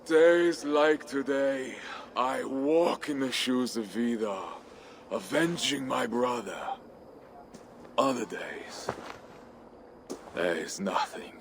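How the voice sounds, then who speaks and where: An actor playing a brooding, vengeful Viking warlord in a video game, speaking in a grave, declamatory way. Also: male voice, on the high side, a bit bright, heavily acted. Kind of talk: dramatic video game acting. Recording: noisy game ambience behind the voice.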